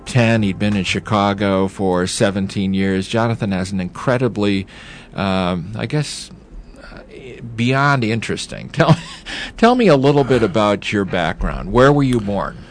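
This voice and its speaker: Low-voiced male radio host. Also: unhurried, a little hiss or hum. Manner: relaxed, conversational, with fillers. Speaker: radio talk show host. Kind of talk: casual radio interview.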